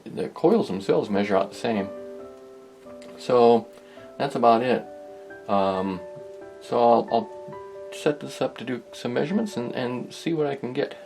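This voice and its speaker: A man's voice: mid-range voice, a little slow, creaky, pauses often, darkish tone, soft background music, rough recording. A man narrating a hobby workshop project informally, thinking aloud in a hesitant, rambling, musing way. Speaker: host of a hobby electronics restoration video.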